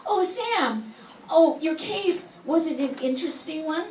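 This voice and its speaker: A woman's voice: high-pitched, expressive, measured pace, a little mellow. An older woman voicing a chirpy, teasing female secretary to a detective in an amateur 1940s-style radio play. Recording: echoey room from far off, faint hiss.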